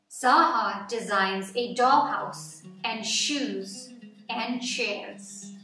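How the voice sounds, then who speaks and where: A woman reading a children's picture book aloud as scripted narration, with measured, deliberate phrasing. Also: a little high, bright, forceful tone. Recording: roomy echo, distant mic, background music. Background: music.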